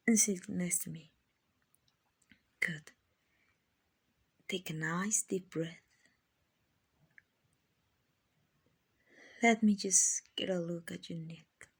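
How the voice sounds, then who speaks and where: Slow, breathy, genteel vampire lady played by a woman. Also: fairly low pitch, heavily acted. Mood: soothing, coaxing. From Mexico. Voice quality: soft, breathy, often dropping to a whisper. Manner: gentle, coaxing, slow and reassuring. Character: kind, doting, genteel female vampire owner, soothing and possessive. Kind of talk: ASMR roleplay.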